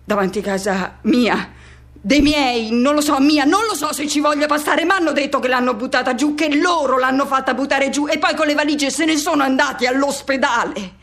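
A woman playing a lonely, self-effacing middle-aged man in an introspective, colloquial radio drama monologue with halting repetitions. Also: high-pitched, fairly bright tone. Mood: brooding, uneasy.

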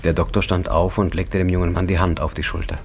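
A man narrating a literary radio drama in a measured, grave manner. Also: low-pitched, level tone, vocal fry, slight background noise.

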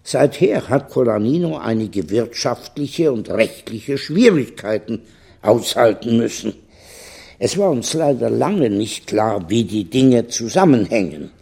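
A man playing a smooth, calmly menacing corporate lawyer in a radio crime drama, speaking formally and with measured eloquence. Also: medium pitch, heavily acted. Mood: smooth, explanatory. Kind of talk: German radio play dialogue.

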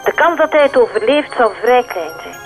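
A woman's voice: high-pitched, animated, a little fast, over loud music. An actress playing a grave female police colleague in a radio crime drama. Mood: grave, concerned.

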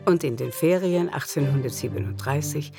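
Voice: medium pitch, normal speed, vocal fry. Radio play narrator over music. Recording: music behind the voice.

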